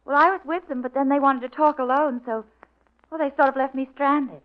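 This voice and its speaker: A quick-witted young American girl Friday, played by a woman, arch and coquettish in a fairly high voice. It is old radio drama acting with slightly muffled sound. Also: steady tone, close to an everyday voice. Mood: coy, wheedling. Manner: arch, playful. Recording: muffled vintage radio recording.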